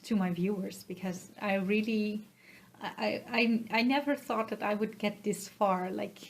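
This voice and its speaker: A woman with a Dutch accent hosts a casual vlog-style podcast. Her voice sits at a middling pitch for a woman. She talks in a hesitant, halting way and comes across as modest about herself, speaking directly to her viewers. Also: fairly even intonation. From Netherlands. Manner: hesitant, halting, self-effacing. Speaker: podcast host.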